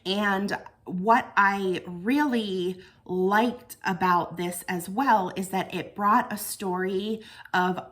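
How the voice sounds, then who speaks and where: A woman's voice: mid-range voice, steady tone, slow, a bit bright. An American woman giving a casual book review to viewers in an earnest, reflective, conversational way. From United States.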